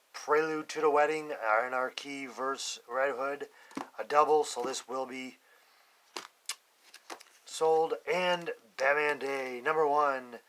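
A young man talks slowly and evenly at a mid pitch as he lists comic issues one by one. His manner is plain and blunt, with the odd curse. The sound comes from a phone camera above a table indoors, with a faint hiss underneath. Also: fairly bright tone, low-quality recording. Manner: plain listing, blunt and cursing when annoyed. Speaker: comic collector vlogger. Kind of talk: casual unboxing narration.

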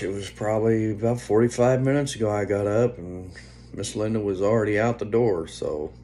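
A man in his fifties chatting casually to a phone at home, unhurried and meandering, often trailing off. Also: lowish voice, a little mellow. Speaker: vlogger. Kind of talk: casual rambling vlog chat. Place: indoors, small room at home. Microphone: phone at arm's length. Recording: faint background hum on phone recording.

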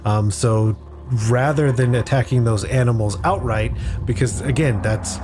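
A man's voice: medium pitch, measured pace, noisy background. A man playing a tabletop game as a guest, telling his character's story in a thoughtful, step-by-step way. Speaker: guest player on a tabletop podcast. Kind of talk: improvised, reflective tabletop storytelling.

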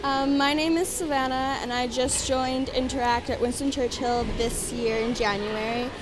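A woman's voice: high-pitched, steady tone, normal speed, background noise. A teenage girl sincerely explaining why she joined a youth club. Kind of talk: casual testimonial to camera.

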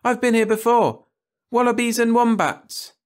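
A man as a shrill, bossy little schoolgirl. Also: unhurried. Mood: eager, boastful. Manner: shrill, excitable. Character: eager, bossy nine-year-old schoolgirl, tattletale. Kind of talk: storybook audiobook character voice.

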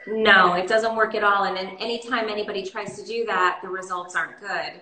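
A woman skincare expert and host, explaining in a relaxed, casual way during a demo chat. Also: medium pitch, a little fast, roomy sound, poor audio. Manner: relaxed, explaining with many fillers.

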